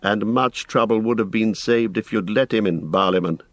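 A man voicing a blunt, grave, commanding ranger in an audiobook, speaking tersely and deliberately. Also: fairly low pitch, no room sound, lightly acted. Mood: stern. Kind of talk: audiobook character voice.

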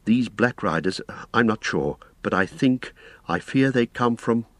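A man's voice: medium pitch, expressive, measured pace, no echo, pitched above the performer's own voice. A man voicing an earnest, weary, courteous hobbit in an audiobook, speaking a little hesitantly. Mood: worried. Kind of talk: audiobook character voice.

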